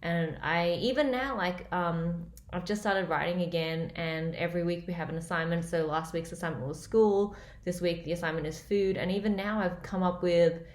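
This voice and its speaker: A woman's voice: on the low side, fairly even intonation, moderate pace, hardly any pauses. A young woman with an Australian accent, a comedian chatting casually to camera from a small room, loose and full of fillers. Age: young adult. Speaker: comedian vlogger. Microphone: laptop webcam at close range. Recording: roomy, echoey home recording.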